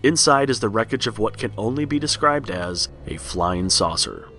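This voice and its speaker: A man's voice: fairly low pitch, lively intonation, normal speed, sharp tone. A man narrating a horror tale as a scripted read-along storybook. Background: music.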